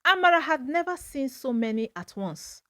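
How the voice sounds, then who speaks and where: A woman storyteller narrating a scripted folktale in a dramatic, measured manner. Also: sits high, big pitch swings, fairly bright tone, no echo, clean studio sound.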